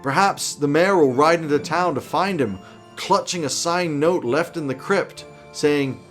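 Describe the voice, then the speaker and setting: High-pitched man explaining over music. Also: a little slow, a bit bright. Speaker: tabletop roleplaying game advice host. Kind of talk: conversational explainer to camera. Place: small home office, indoors.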